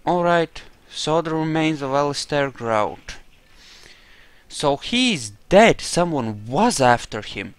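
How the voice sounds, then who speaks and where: A man's voice: fairly high pitch, very animated, slow, clear, resonant tone, dry recording. A man giving casual roleplay playthrough commentary, musing hesitantly as he thinks aloud about what his character believes. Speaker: gaming video host.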